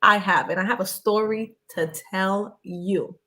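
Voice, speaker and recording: A woman's voice: mid-range voice, measured pace, bright, forceful tone. Chatty woman reading viewer comments aloud. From Connecticut, USA. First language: Spanish. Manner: chatty, reads comments aloud, laughs often. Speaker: livestream host answering viewer questions. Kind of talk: casual live Q&A chat.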